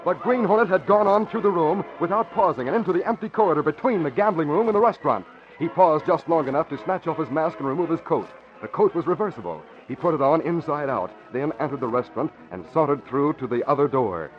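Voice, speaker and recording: A male narrator of a vintage radio serial, his voice a little high for a man. He tells the story in a scripted, urgent, dramatic way. The recording is dull and old. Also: lively intonation, fast, soft background music.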